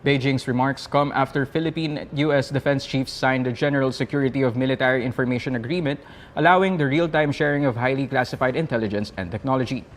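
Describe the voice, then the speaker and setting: A man with a Filipino accent presenting a scripted news roundup in a formal, measured way. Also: medium pitch.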